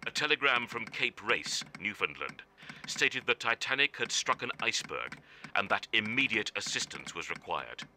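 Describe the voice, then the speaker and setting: A man's voice: fairly low pitch, bright, forceful tone, a little hiss or hum, heavily acted. An actor playing a sombre male news reader from 1912, delivering formal telegram bulletins in a radio drama.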